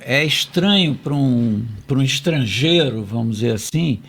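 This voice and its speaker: A man's voice: mid-range voice, expressive, measured pace, gravelly, a bit bright, slightly rough. A man in a casual podcast discussion, thinking aloud and hedging his points with examples. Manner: reflective, hedging with "vamos dizer", gives examples. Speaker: podcast guest.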